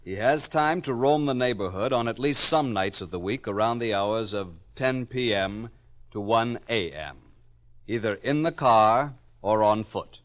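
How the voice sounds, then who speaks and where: A man with an American accent playing a grave, assured psychology professor in a 1950s radio drama, measured and precise. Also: on the low side, expressive. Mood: clinical.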